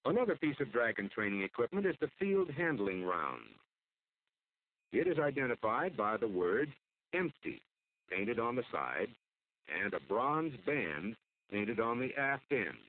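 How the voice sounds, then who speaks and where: An American man delivers formal, measured scripted narration for an instructional military training film. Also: medium pitch, sing-song. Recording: muffled phone-like old film soundtrack.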